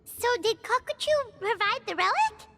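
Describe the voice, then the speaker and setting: An actress voicing a tiny, curious, excitable fairy companion in a video game, squeaky and childlike. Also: female voice, normal speed, gravelly, faint music behind, full character voice. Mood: inquisitive, frank.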